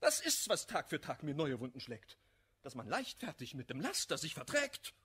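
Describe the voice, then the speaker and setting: An actor playing an embittered, hot-headed misanthrope in a classical German radio play, declaiming vehemently in rhymed verse. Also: male voice, fairly high pitch, huge pitch swings, moderate pace, pushed and bright, breathy edge, heavily acted. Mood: hateful, outraged. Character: embittered, hot-headed male misanthrope railing against hypocrisy.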